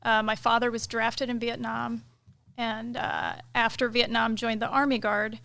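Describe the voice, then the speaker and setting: A middle-aged woman, a military pilot, talking through her career as a guest in a casual interview, relaxed and thoughtful, with many fillers. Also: fairly high pitch, unhurried, vocal fry. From United States. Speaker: KC-135 pilot and director of inspections, guest.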